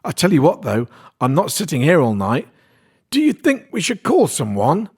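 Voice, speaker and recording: A man's voice: fairly high pitch, huge pitch swings, normal speed, darkish tone, husky, pitched above the performer's own voice, full character voice. A man voicing a blunt, impatient, sweary young woman in a short horror story.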